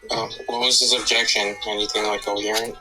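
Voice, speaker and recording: A man's voice: on the low side, flat delivery, fairly quick, music in the background. A man in a casual live-stream chat, talking hesitantly with many fillers.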